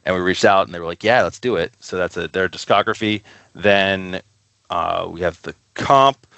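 A man's voice: deep voice, moderate pace, gravelly, sharp tone, no echo. A man speaking casually as a podcast guest, rambling enthusiastically through stories about his work. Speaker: podcast guest, record label co-founder. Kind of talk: casual interview chat.

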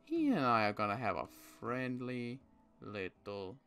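A man roleplays a suspicious male survivor in a zombie game, talking to himself. His pitch moves in lively swings as he stammers and repeats words. Faint music plays underneath. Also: unhurried. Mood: resolved, menacing. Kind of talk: improvised game roleplay monologue.

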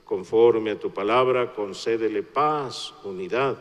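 A man, a priest slowly reciting the prayers of the Mass in a church. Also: lowish voice. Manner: slow solemn liturgical recitation. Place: large church. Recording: long church reverb, faint noise.

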